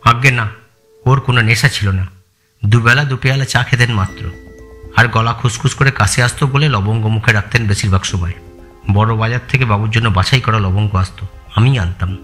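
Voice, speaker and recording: Lowish-voiced, deferential loyal retainer played by a man. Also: normal speed, a bit bright, close to an everyday voice. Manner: deferential, plain, explanatory answers. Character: Jashoda, loyal, respectful male long-time employee of a merchant. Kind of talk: audio story acting. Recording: music bed behind the voice.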